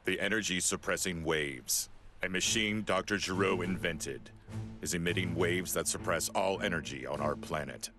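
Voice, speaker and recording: A man's voice: deep voice, moderate pace, bright, forceful tone. A man playing a stoic, protective giant android in an anime game, speaking formally and with measure. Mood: calm, stoic. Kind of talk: dubbed anime game voice acting. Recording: music under the voice.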